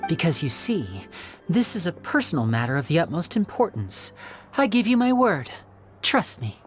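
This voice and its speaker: A woman's voice: on the low side, big pitch swings, moderate pace, soft background music. A woman voicing a young male magician in a video game, polished, courteous and theatrically confident. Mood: earnest, resolute.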